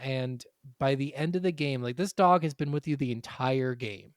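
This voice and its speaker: A man co-hosting a gaming podcast, talking in a casual, animated storytelling way with easy swearing. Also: medium pitch, moderate pace, no room sound, studio quality. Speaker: podcast co-host.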